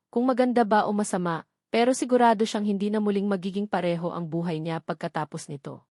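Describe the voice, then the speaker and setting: Filipina woman reading a love story script, normal pitch, really dry audio. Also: darkish tone, dry recording. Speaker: story narrator. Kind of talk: scripted romance story narration.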